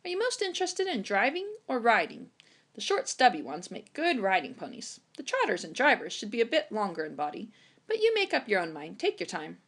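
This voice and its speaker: A woman voicing a kindly old grandfather who raises ponies, with an unhurried, gently teasing, dry manner. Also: on the high side, wildly swooping pitch, voice pushed up, exaggerated voice. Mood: thoughtful, advising.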